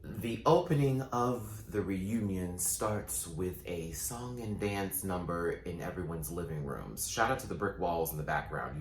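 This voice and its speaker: A man chatting sassily through a casual recap, his voice still low and groggy from just waking up. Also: echoey room, slight background noise. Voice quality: voice sitting lower than his normal. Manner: sassy, playful, quick asides. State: just woke up, morning voice. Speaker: vlogger and show recapper.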